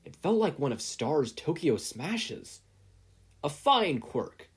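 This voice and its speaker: A man's voice: a little high, very animated, takes it slowly, husky, pitched above the performer's own voice, full character voice. A man voicing a hearty, earnest older hero and kindly mentor, speaking in an emphatic, encouraging way for an audiobook. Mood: impressed, encouraging. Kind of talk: audiobook character voice.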